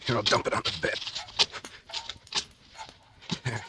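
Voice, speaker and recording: An actor playing a shaken, evasive crook in a 1940s radio thriller, talking in terse slang on an old recording. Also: male voice, takes it slowly, noisy background, lightly acted. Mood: uneasy, evasive. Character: shaken, evasive male crook back from a robbery.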